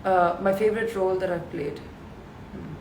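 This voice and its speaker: A woman in her thirties with a deep voice, chatting casually and reading fan questions aloud on a live stream from her home. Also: moderate pace, darkish tone, echoey room, a little hiss or hum, low-quality recording. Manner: chatty, reads comments aloud and answers them. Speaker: live stream host answering fans. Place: her house with bare concrete walls. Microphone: phone livestream.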